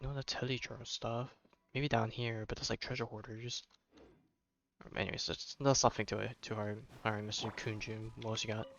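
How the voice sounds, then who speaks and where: A man giving casual, low-key live commentary as he streams a game, mumbling asides. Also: level tone, moderate pace, gravelly, a bit bright. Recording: dull lo-fi streaming audio.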